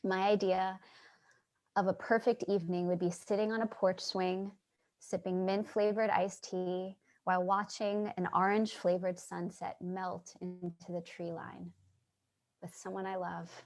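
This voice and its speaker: Stage reading role: wistful homeless dreamer played by a woman, earnest, unhurried, mid-range voice. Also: medium pitch, little pitch movement, a little mellow, natural voice. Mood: wistful, tender. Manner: earnest, lingering over phrases, dreamily effusive. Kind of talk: staged play reading, monologue and dialogue. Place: remote reading over video call.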